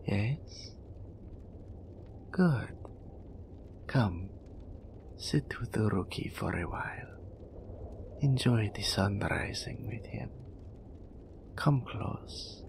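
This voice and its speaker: Male actor for mystical naga oracle, intimate audio drama. Low, gravelly, very unhurried; proud yet tenderly teasing, speaks of himself in third person. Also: animated, slight background noise, exaggerated voice. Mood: weary. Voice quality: breathy, whispery, gravelly low voice.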